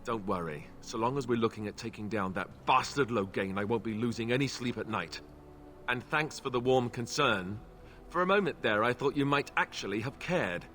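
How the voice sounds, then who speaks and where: A man's voice: medium pitch, normal speed, fairly bright tone, close to an everyday voice. An actor playing a sardonic, sensitive young male warrior companion in a fantasy game, with game ambience behind him. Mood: bitter sarcasm. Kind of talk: scripted fantasy game acting.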